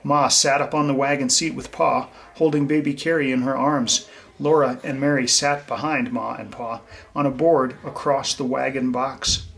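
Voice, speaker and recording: A man's voice: medium pitch, steady tone, moderate pace, gravelly, a bit bright. A man reading a children's story aloud and talking to young listeners in a simple, explanatory way. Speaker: teacher reading a picture book aloud to children.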